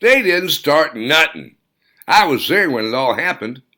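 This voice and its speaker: Audiobook role: plain-spoken country man, done by a male reader, folksy and terse, pitch jumping in a lively way. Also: a little slow, heavily acted. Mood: insistent. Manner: terse, folksy, grunting replies. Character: Charlie, plain-spoken rural pickup-driving widowed man. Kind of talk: audiobook character dialogue.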